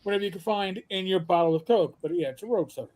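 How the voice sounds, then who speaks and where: A middle-aged man with a New York accent, telling animated stories with swearing in a casual chat. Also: sits high, fast, a little hiss or hum. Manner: animated storyteller, swears, acts out others' voices. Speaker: co-host. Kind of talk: casual chat on a live stream.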